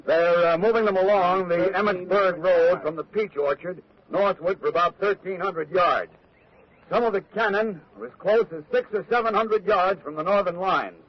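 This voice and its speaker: An actor playing a brisk, matter-of-fact male war correspondent in a 1940s radio news dramatization. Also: high voice, crowd noise, natural voice. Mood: alert. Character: observant male war correspondent at a lookout post. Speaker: radio field reporter. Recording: dull vintage radio broadcast recording.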